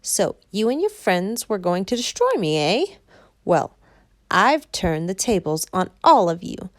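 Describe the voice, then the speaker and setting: A woman voicing a wicked old witch, gloating, who breaks into shrill panicked screams. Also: very animated, measured pace, dry recording, clean studio sound.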